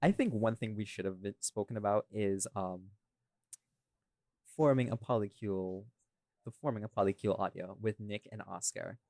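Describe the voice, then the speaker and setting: Low-pitched guy podcast host, rambly and informal, dry sound with no room echo. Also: normal speed, a little mellow. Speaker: podcast host and audio creator. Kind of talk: casual behind-the-scenes podcast chat.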